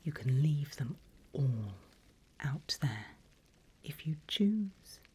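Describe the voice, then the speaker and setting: A woman whispering an ASMR roleplay as a seasoned demon mentor, slow and deliberate, with playfully sly menace, close to the microphone. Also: deep voice, sing-song, darkish tone, slightly rough.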